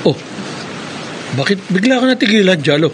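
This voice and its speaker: A man's voice: high voice, very animated, moderate pace. An actor playing a worried young man, a monster slayer, in a radio drama. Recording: noisy background.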